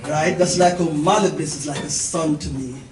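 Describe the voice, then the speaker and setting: A woman's voice: deep voice, normal speed. A middle-aged woman, telling a story informally and emphatically to a gathering as its host, repeating phrases and checking in with listeners. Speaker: event organizer and host. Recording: echoey, distant mic, noisy live gathering.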